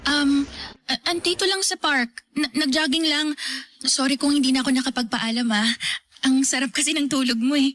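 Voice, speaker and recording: Tired guarded thirtysomething lady, hesitant and sorry-sounding, bit high voice. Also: normal speed, fairly bright tone, dry recording. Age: 30s. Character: weary woman in her thirties, guarded. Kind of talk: radio drama acting.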